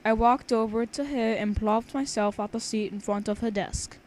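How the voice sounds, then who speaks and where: A woman's voice: a little high, fairly even intonation, normal speed, darkish tone. A young woman reading a story aloud casually with banter, informal and giggly, often stumbling over her words. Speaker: amateur reader of own fan fiction. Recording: noisy homemade amateur recording.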